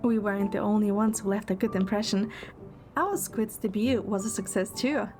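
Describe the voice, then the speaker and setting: A woman's voice: medium pitch, normal speed, music in the background. A woman reading scripted first-person narration and dialogue as the heroine of an audio drama. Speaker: audio drama narrator, also playing the young producer heroine.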